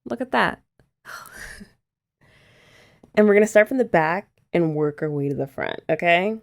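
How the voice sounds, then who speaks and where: A young woman with a lowish voice and a gravelly edge talks through an ASMR girlfriend roleplay. She explains each step in a relaxed, chatty way, with playful teasing mixed in. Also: a little slow. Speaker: ASMR creator.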